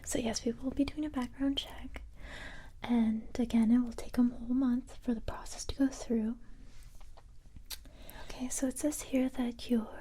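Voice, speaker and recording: A woman playing a calm, confident special-forces police recruiter in a soft-spoken ASMR roleplay, whispering breathily in a gentle, unhurried, matter-of-fact way. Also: fairly high pitch, slightly rough. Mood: calm, businesslike.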